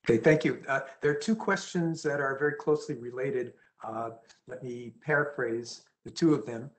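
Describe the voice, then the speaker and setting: A man chairs a conference session over a video call. He reads out audience questions in a measured way and paraphrases them. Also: medium pitch, poor audio. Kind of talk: moderating Q&A.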